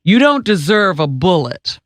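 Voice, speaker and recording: A woman's voice: on the low side, very animated, measured pace, dry recording. Woman reading radio news, dry close sound. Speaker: radio news reader and co-host. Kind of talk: news reading with casual banter.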